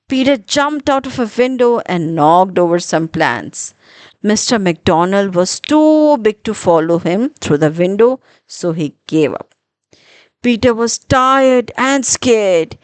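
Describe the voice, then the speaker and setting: A woman narrating a children's story in an expressive, deliberate storytelling manner with dramatic pauses. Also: mid-range voice, no echo.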